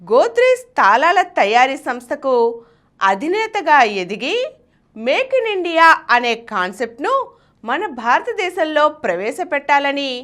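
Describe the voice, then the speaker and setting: A woman with a Telugu accent presenting scripted biographical narration in an expressive, emphatic storytelling manner. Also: sits high. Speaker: channel presenter reading a biography.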